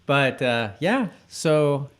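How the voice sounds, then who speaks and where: A man's voice: on the high side, very animated, extremely slow, clean tone. A man co-hosting a podcast, chatting casually in a loose, somewhat hesitant conversational way. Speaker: podcast co-host. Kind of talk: casual chat about a TV episode.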